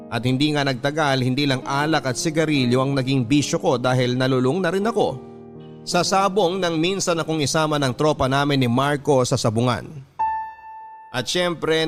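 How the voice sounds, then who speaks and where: An actor with a Filipino accent playing a regretful husband, narrating in a measured, confessional way for a radio drama. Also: male voice, mid-range voice, natural voice. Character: regretful husband narrating his troubled past. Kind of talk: scripted first-person narration. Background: music.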